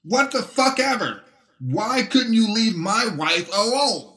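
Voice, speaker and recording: Brief: male narrator plays enraged cuckolded husband, yelling, high-pitched. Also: expressive, slow pace, reverberant, full character voice. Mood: furious. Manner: shouting, losing his temper. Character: angry wronged husband, man, shouting.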